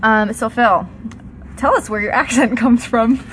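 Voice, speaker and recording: Junge Frau als Podcast-Moderatorin. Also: female voice, on the high side, unhurried, a bit bright, slight background noise, rough recording. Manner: laughs often, asks questions. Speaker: podcast host. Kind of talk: casual interview chat.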